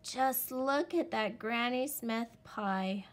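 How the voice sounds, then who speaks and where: A woman's voice: a little high, slow. A woman voicing a weary, matter-of-fact mother wolf in a read-aloud story.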